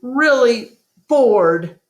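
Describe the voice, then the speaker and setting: A woman's voice: a little high, animated, extremely slow, echoey room, pitched above the performer's own voice. A woman voicing a chatty, innocent young female elephant in a storybook reading, eager and full of questions. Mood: bored, restless.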